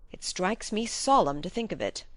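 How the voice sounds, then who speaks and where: A woman's voice: medium pitch, big pitch swings, moderate pace, fairly bright tone, no echo. A woman voicing an eager young male heir to an ancient manor in an audiobook, earnest and boyish. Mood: eager, awed. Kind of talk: audiobook character acting.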